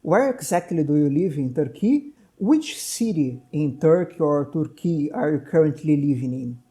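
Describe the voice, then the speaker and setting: Male doctor-host, Portuguese native, talkative and digressive, mellow tone. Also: a little high, expressive, moderate pace. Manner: talkative, digressive, friendly laughs. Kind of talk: conversational podcast interview.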